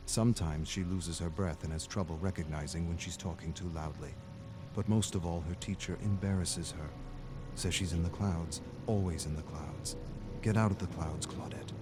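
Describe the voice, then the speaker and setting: A man giving a dramatic scripted story narration, brooding and deliberate, in short phrases with weighty pauses. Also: low voice.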